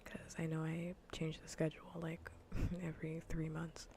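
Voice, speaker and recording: A woman streamer chatting casually in a soft, breathy whisper and murmur during an ASMR gaming livestream. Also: sits low, monotone, normal speed, a little hiss or hum.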